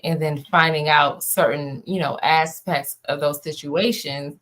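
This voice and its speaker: A woman's voice: low voice, fairly even intonation, measured pace, a bit bright. A woman from Washington, D.C., chatting casually as an interviewed playwright, in an emphatic, rambling conversational way. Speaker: playwright and director being interviewed.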